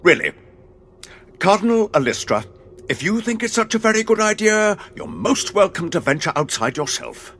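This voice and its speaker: A man's voice: high-pitched, sing-song, normal speed, a bit bright, a little hiss or hum, exaggerated voice. An actor playing a nervous, fussy and sarcastic male coordinator in a science fiction audio drama. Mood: fussy protest. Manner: fretful, fussy.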